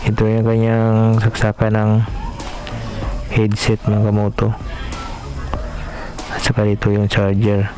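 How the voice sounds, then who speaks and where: A man's voice: fairly low pitch, completely flat delivery, takes it slowly, long pauses, poor audio. A man from the Philippines hosting a casual unboxing vlog, hesitant and filler-heavy as he talks straight to his viewers. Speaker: vlog host. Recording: clear background music.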